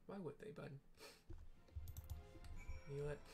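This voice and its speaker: A man's voice: takes it slowly, dark tone, soft background music. Easygoing man describing gameplay aloud, playful asides. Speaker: game narrator. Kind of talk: casual gameplay narration with audio description.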